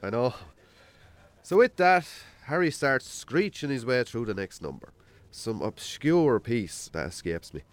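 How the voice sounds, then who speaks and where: An Irish farmer spins his yarns in a radio drama. His pitch sits in the middle but swings widely as he talks. He is wry, colloquial and modest about himself. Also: very animated, a little slow, no room sound. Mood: wry, suspenseful. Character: Jamesy, rural bachelor farmer, self-deprecating storyteller on a date. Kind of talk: naturalistic radio drama acting.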